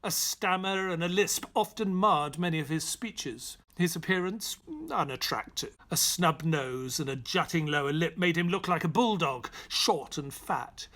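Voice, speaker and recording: An actor playing a loyal, grieving gentleman who narrates a detective audio drama in a measured, reflective manner. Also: on the high side.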